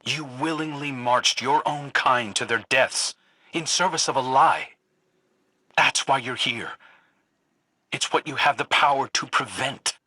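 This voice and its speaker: Husky, intense, earnest guardian. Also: male voice, medium pitch, measured pace, sharp tone, no room sound. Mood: stern. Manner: earnest, persuasive. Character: earnest, remorseful male guardian seeking redemption. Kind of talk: dramatic game dialogue.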